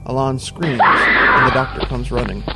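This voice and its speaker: A man reading scripted audio drama narration in a deliberate, suspenseful manner. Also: mid-range voice, steady tone, rough recording. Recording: music bed behind the narration.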